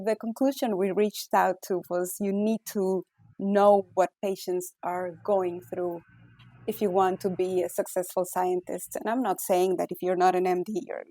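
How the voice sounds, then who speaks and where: A woman's voice: mid-range voice, gravelly, dark tone, no room sound. A middle-aged woman from Ecuador with an Ecuadorian Spanish accent, a physician scientist answering interview questions thoughtfully with many fillers and restarts.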